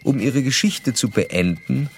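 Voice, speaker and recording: An actor playing a formal, probing physician in a radio drama, speaking in measured literary phrases. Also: male voice, mid-range voice, expressive, slight background noise. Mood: formal, recounting. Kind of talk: radio drama, narration and dialogue.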